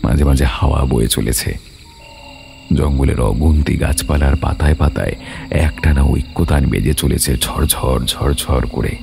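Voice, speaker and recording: Story narrator: man with an extremely low, heavily creaky voice, solemn and dramatic, music underneath. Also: steady tone, a little slow.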